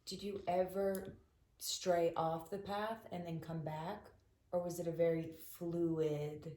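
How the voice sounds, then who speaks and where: A woman hosting a podcast, asking her guest slow, soft-spoken questions. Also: lowish voice, little pitch movement. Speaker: podcast host. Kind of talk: interview questions. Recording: echoey room.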